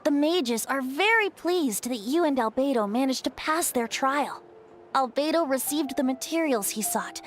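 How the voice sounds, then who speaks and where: A woman voicing a playful, boyish young male bard in a video game, light and youthful, with a theatrical, teasing lilt. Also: sits high.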